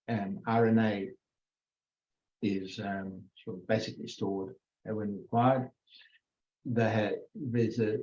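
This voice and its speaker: An older man giving an informal biology lecture, halting with many fillers and self-corrections. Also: medium pitch, dark tone. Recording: echoey room, dull lo-fi sound.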